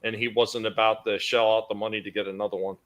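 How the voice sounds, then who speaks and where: A man's voice: fairly low pitch, flat delivery, quick pace, a bit bright. A man hosting a casual collectors' show, chatting in a detailed, explanatory way. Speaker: host of a toy collecting show. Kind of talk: casual chat explaining toy history.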